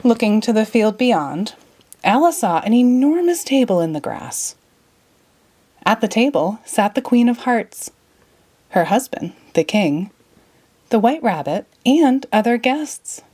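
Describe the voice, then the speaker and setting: A woman narrating a children's story as an expressive read-aloud. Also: a little high, unhurried.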